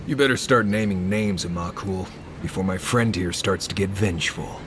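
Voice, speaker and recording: A man's voice: sits low, normal speed, noisy background, lightly acted. A man voicing a tough, sardonic adventuring companion in a video game. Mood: threatening.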